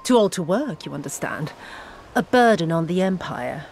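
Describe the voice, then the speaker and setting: An actress playing a cruel, sadistic imperial official in a video game, mocking and theatrical in her drawn-out delivery. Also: fairly low pitch, animated, gravelly, a little mellow, soft background music. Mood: sadistic, coy.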